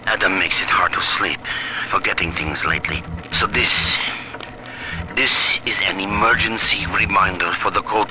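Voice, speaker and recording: An actor playing a tired, forgetful man in a game audio diary, speaking haltingly as if to himself. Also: low voice, background noise. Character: weary, forgetful man recording a reminder.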